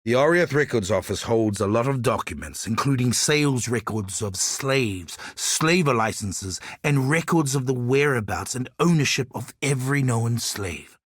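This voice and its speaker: A man's voice: mid-range voice, expressive, moderate pace, few pauses, husky, no room sound, studio quality. An actor voicing a proud, earnest and wry islander man who seeks justice for his enslaved people, in scripted video game dialogue. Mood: grave, determined.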